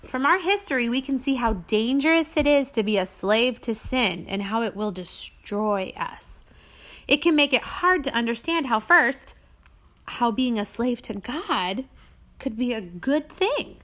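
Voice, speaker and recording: High, bright-voiced woman reading to children. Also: animated, a little slow, dry recording. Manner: teacherly, frequent prompting questions to children. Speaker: author reading her audiobook aloud to her children. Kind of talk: children's religious book read-aloud with questions to kids.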